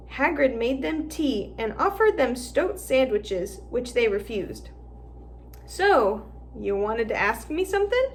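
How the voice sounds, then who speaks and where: Teacher narrator, female, clear and expressive storybook reading, fairly high. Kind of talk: storybook read-aloud narration.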